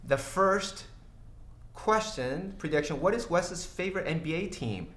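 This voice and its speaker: A man's voice: a little high, expressive, a bit bright. Middle-aged guy from brazil hosting a stream q&a, lots of uhs and ums, roomy office sound. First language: Portuguese. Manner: halting, many fillers and restarts, laughs easily. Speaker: company CEO hosting an AMA. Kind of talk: casual livestream Q&A. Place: small office studio with glass walls.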